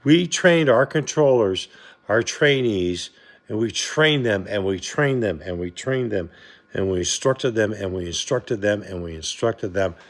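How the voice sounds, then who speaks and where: A middle-aged or older man giving an impassioned personal monologue, speaking emphatically and haltingly, repeating himself for stress. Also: on the low side, lively intonation. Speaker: former Navy air traffic controller speaking to viewers.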